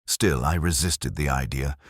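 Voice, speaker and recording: A man playing a weary, brooding older police inspector, narrating his case in a measured, solemn, deliberate way. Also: extremely low pitch, dry recording, studio quality. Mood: pensive, suspicious. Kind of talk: scripted first-person mystery narration.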